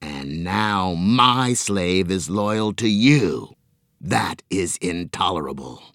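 A man voicing a menacing part-human squid monster that hisses and snarls slowly. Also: low voice, animated, a bit bright, no room sound, studio quality, heavily acted. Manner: slow, hissing, snarling.

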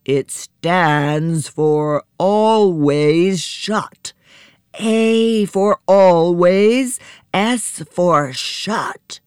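A woman voicing a threatening, commanding giant in a storybook, with a booming, drawn-out delivery. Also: darkish tone, clean tone, no echo, clean studio sound. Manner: slow, drawn-out menacing warnings.

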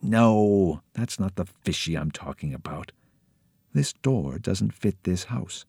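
A man voicing a sharp, suspicious young girl who speaks in a hush and turns shrill when surprised. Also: lively intonation, takes it slowly, gravelly, slightly rough, no echo, clean studio sound, heavily acted.

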